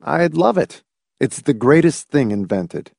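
Male narrator as exasperated intellectual man, cynical, emphatic, big pitch swings, dry close audiobook sound. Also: sing-song, measured pace, gravelly, a little mellow, slightly rough, no room sound, heavily acted. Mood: resigned. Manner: emphatic, swinging from outburst to weary mutter. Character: intense, exasperated, cynical male research statistician.

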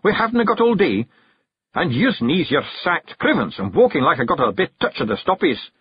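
A man voicing a bumbling, bossy bearded Scottish stranger in a storybook, halting, growly and correcting himself. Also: on the high side, sing-song, slightly rough, no echo, dull audio, pitched above the performer's own voice, full character voice. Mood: grumbling. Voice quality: growly, snarling.

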